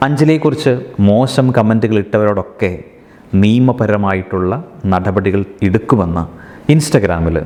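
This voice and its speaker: Guy doing motivational talk, lowish voice, earnest w/ dramatic pauses, big echoey reverb. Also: warm tone. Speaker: social media commentator and motivational speaker. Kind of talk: motivational speech and commentary. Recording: long hall-like reverb on voice.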